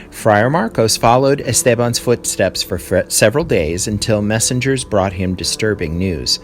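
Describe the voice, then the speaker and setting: A man reading a history text aloud as a teacher, in a deliberate storytelling delivery with short explanations. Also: lowish voice.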